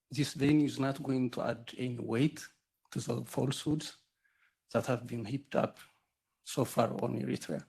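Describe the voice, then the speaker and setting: A man giving a deliberate, sardonic formal rebuttal as an embassy representative at a roundtable. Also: mid-range voice, vocal fry, a little mellow, breathy edge, rough recording.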